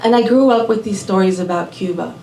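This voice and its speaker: A woman's voice: medium pitch, a little hiss or hum. Woman telling a personal story onstage. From born in Puerto Rico, raised in Miami. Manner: warm, unhurried, measured phrasing with Spanish words. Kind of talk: live personal storytelling performance. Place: small venue before a live audience. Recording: roomy venue audio from a distant mic.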